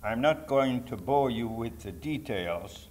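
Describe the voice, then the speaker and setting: A man's voice: medium pitch, animated, unhurried, a little mellow. A man in his nineties from Poland with a Polish accent, telling his life story to an audience in an emphatic, digressive way with rhetorical asides. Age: 90s. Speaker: Holocaust survivor giving testimony. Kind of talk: personal testimony to a live audience. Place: public talk to an audience.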